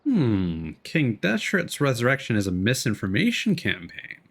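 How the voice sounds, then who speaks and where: A man's voice: mid-range voice, wildly swooping pitch, moderate pace, a bit bright. A man streaming a video game, casually commenting and reading dialogue aloud. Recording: game music behind the voice.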